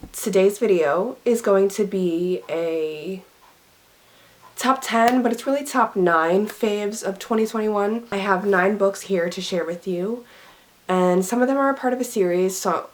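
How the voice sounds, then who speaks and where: A young woman chatting casually about books, a little raspy and scratchy from being sick, rambling with lots of "like" and "um". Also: mid-range voice. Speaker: book vlogger. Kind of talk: casual chat to camera.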